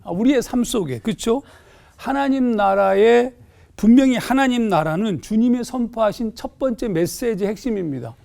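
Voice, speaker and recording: A man's voice: very high-pitched, moderate pace, vocal fry, warm tone. A man, a pastor on a panel, explaining a scripture passage in a thoughtful way with hesitant fillers in a TV studio.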